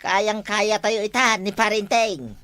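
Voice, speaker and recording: Bright-voiced Ilocano village gambler played by a woman. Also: mid-range voice, fairly even intonation, measured pace, slight background noise, lightly acted. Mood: scheming. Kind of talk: Ilocano barangay comedy drama acting.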